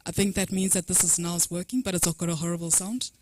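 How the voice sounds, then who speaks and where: Soft-spoken woman testing a microphone. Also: fairly low pitch, fairly even intonation, fast, background noise. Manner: soft-spoken and hesitant, checking whether the mic works. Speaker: workshop organiser. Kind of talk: opening remarks to a room. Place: conference room. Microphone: handheld microphone with a PA system.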